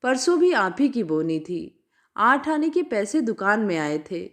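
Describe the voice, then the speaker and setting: A woman's voice: mid-range voice, big pitch swings, unhurried. A woman with an Indian accent voicing an apologetic, superstitious female betel-leaf seller in a storybook reading, speaking with deferential pleading. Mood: apologetic, explaining. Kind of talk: storybook character dialogue.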